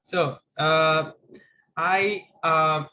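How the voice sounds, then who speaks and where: Young Greek-accented man, non-native English, hesitant, video-call laptop mic, higher-pitched. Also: steady tone, extremely slow, sharp tone. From Greece. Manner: hesitant, many fillers and restarts. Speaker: guest. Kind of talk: casual video call conversation. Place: small bright room at home. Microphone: laptop webcam mic on a video call.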